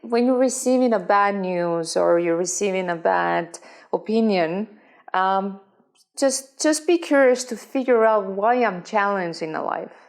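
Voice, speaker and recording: A woman from Estonia with an Estonian accent, speaking English as a second language. She gives earnest, motivational answers in halting, non-native phrasing. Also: mid-range voice, expressive, creaky. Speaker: guest. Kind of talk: casual interview chat.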